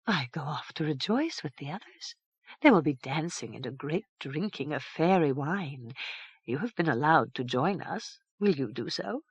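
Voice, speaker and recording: A vain, sly fairy mother who talks in a soft, silken voice that stays quiet and low, as a woman reader puts her on. The recording is dry and close. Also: lively intonation, normal speed, slightly rough, dry recording, lo-fi sound. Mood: airy.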